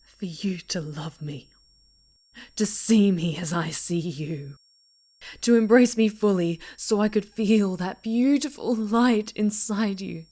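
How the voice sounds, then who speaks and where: A woman playing an obsessive, possessive dark-winged superhero villainess in an ASMR roleplay, with a breathy, whispery voice and menacingly tender delivery. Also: mid-range voice. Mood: angry. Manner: slow, deliberate, pleading possessiveness. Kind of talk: ASMR roleplay monologue, theatrical voice acting.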